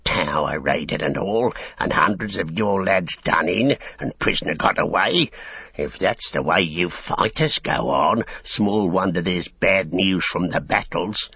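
A man's voice: sits low, lively intonation, unhurried, gravelly, breathy edge, no room sound, highs cut off, exaggerated voice. A man voicing a small male orc tracker in a storybook, grumbling, sneering and insolent, jeering and whining his complaints.

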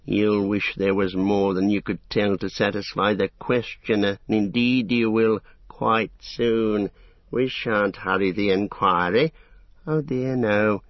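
A man's voice: on the low side, steady tone, a little slow, dry recording, dull audio, lightly acted. A man voicing a menacing, greedy orc in an audiobook, hissing and drawing out mock-tender words with cruel relish. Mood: mocking cruelty. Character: sly, menacing male orc, greedy, falsely sweet, cruel. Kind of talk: audiobook fantasy villain.

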